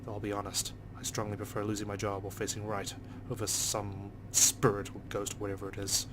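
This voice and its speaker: Low-pitched man, quick pace, sardonic game narration, faint background noise. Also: completely flat delivery. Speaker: let's play narrator. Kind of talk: reading a visual novel aloud in character.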